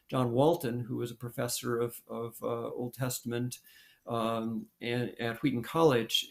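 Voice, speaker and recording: Gravelly man hesitating on a video call. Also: medium pitch. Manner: halting, many fillers and restarts. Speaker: planetary scientist guest. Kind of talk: thoughtful interview answer. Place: home room with posters.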